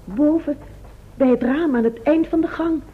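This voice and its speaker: High-voiced, demure messenger girl played by a woman. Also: normal speed, natural voice. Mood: polite, dutiful. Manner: polite, dutiful. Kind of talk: radio play acting. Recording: dull, lo-fi old radio recording.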